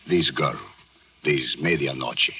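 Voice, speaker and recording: An actor playing a sly, wry police inspector, deadpan in an old radio thriller. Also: male voice, very deep voice, slight background noise, exaggerated voice. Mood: exasperated, wry. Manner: deadpan, deliberate, feigned ignorance. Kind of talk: 1940s radio thriller acting. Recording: old, harsh radio drama recording.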